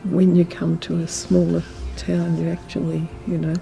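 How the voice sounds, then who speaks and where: A woman's voice: on the low side, completely flat delivery, normal speed, vocal fry, dark tone, faint music behind, poor audio. An older woman giving a reflective, hesitant personal account in an interview.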